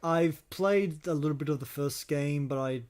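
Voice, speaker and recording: A man hosting an unscripted film discussion on a podcast, speaking in a halting, hesitant way. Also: fairly high pitch, a little mellow, smooth. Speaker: podcast host.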